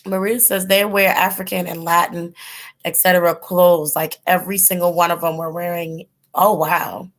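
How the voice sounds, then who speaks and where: A woman's voice: on the low side, flat delivery, moderate pace. A woman hosting a casual livestream, rambling with lots of ums and likes while chatting with viewers, her voice giving out. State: losing her voice. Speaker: host of a tarot channel, chatting with live viewers.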